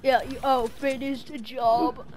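A young person improvising an excited roleplay with friends over game voice chat. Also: high voice, slow. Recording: noisy, clicky game voice chat.

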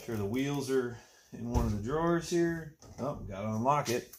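A man's voice: mid-range voice, expressive, normal speed. A man in his thirties chatting casually and unscripted in a laid-back way, sometimes muttering to himself as he works. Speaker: woodworker unboxing and reviewing a tool chest. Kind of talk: casual unscripted vlog chat. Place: indoor workshop with shelving and lumber. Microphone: phone camera, at arm's length, then a few feet away. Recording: background noise and clicks, handling sounds.

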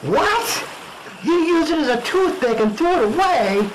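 Radio spoof: urgent, exasperated small-town police chief played by a man, high and animated. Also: a little slow, noisy background, full character voice. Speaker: amateur radio drama actor. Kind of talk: spoof radio drama acting. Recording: music bed under the voice.

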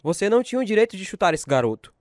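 A man's voice: sits high, big pitch swings, rapid-fire, darkish tone, no echo, clean studio sound. An actor playing a stern middle-aged military father in a radio drama, curt and commanding. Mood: firm, indignant.

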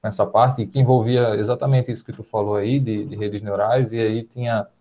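A man's voice: fairly low pitch, fairly even intonation, moderate pace, warm tone, dull audio. A man in his thirties with a Northeastern Brazilian accent, chatting informally and agreeably as the host of a video call. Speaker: host, interviewer. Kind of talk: casual conversation. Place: small indoor room, video call. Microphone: earphones on video call.

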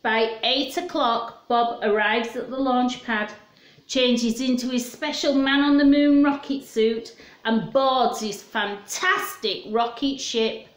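A roomy home recording of a woman. Her voice is fairly high and she takes her time, speaking very slowly. She presents a story to young children in simple words, putting questions to them as she reads. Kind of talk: reading a picture book aloud to children. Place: small room at home, indoors.

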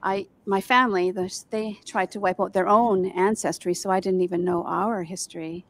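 A woman in her sixties reads steadily from prepared notes, her voice quiet and soft-spoken. She speaks at a middle pitch into a handheld mic, hosting a gathering over a video call from a room at home. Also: steady tone, normal speed, a little hiss or hum. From Canada. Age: 60s. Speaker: event host. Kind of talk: reading a land acknowledgment.

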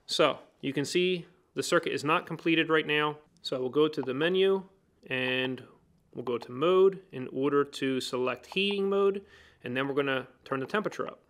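A man with a somewhat raised, smooth and clear voice teaches new technicians at a workbench. He explains each step in plain words and links the steps with "so" and "now.". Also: a little high, moderate pace, a bit bright. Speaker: HVAC trainer. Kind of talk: hands-on technical demonstration. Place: indoor workbench.

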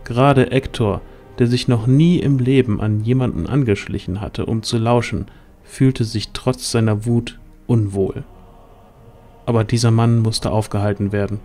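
A man's voice: mid-range voice, steady tone, moderate pace, warm tone, soft background music. A man narrating a scripted fantasy audio drama as the storyteller.

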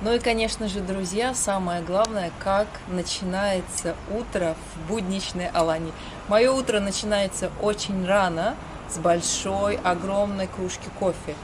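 A middle-aged woman presents to camera in a measured, friendly tone, standing outdoors on a balcony and then a quiet street. She is filmed from a few steps away. Clear street noise runs behind her. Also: mid-range voice, steady tone. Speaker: show host. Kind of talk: scripted presenting. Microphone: camera at medium distance.

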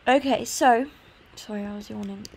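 A woman's voice: medium pitch, moderate pace, creaky, darkish tone, husky. A tired woman with a congested sore throat gives an informal, meandering tour commentary on a video game. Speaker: gaming video host. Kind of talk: casual gameplay tour commentary.

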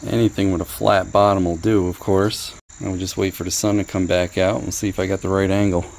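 A man's voice: low voice, little pitch movement, brisk, vocal fry, darkish tone. A middle-aged man casually reviewing gear outdoors in a driveway, thinking aloud and cracking dry jokes, recorded on a body-worn action camera. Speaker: product reviewer. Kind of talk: casual hands-on product review.

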